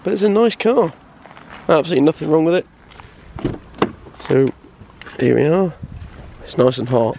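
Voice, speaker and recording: A slightly hoarse young man, chatting upbeat as vlog host from a car, filmed at arm's length. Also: on the high side, expressive, normal speed, pauses often. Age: young adult. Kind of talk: casual car vlog chat. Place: inside a car and a car dealership. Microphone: handheld camera at arm's length. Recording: noisy car and street sound. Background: engine and road noise.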